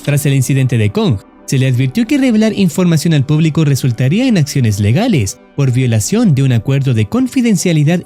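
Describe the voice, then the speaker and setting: A man narrating a horror story as a scripted voice-over, in a steady, solemn storytelling manner with ominous emphasis. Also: on the high side. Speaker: YouTube horror channel narrator.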